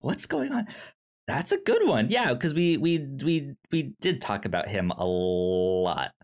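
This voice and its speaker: A man co-hosting a podcast in casual review chat, speaking haltingly and often stammering over syllables and words. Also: mid-range voice, very animated, darkish tone. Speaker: podcast co-host.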